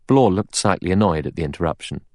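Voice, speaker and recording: Low voiced guy narrating a novel, dry sound with no room on it. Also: animated, fast talker, darkish tone, no room sound. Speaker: audiobook narrator.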